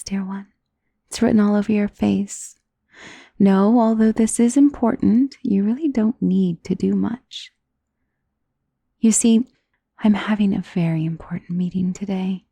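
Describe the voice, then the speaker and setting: A woman voicing an elegant, doting, possessive vampire noble in an intimate ASMR roleplay, soft and breathy, often slipping into whispers. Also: mid-range voice, measured pace, no room sound. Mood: reassuring, coaxing. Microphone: close studio mic.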